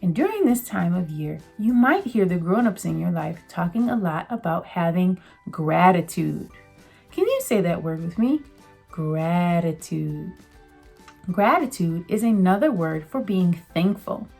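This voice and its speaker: A woman's voice: lowish voice, big pitch swings, a little slow, gravelly, darkish tone, soft background music. A woman teacher giving a children's read-aloud lesson, speaking directly to young listeners in an encouraging way.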